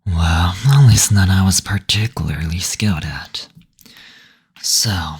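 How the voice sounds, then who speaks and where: Male demon played by a man for intimate audio roleplay: low, very breathy, unhurried, tender and soothing. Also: full character voice. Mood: relaxed, chatty. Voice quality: breathy, husky. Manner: slow, soothing, tender, attentive. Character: gentle teasing male demon, brothel receptionist.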